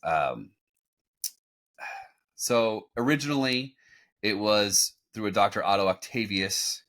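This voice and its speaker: Male podcast host, low voice, halting conversational storyteller, casual recap commentary. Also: expressive, fairly bright tone. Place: home room, on a group video call.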